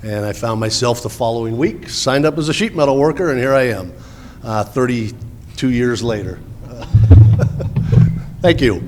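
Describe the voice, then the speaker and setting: A man's voice: medium pitch, normal speed, pauses often. Man, 50s, union rep, down-to-earth and a bit nervous, faint noise. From California. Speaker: union business representative, panelist.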